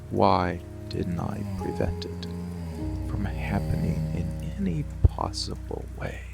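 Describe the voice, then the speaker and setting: Man acting a very gravelly, slow seductive monster. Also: heavily acted. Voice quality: low gravelly voice, breathy whispered edges. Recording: music and rain behind voice. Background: music, rain.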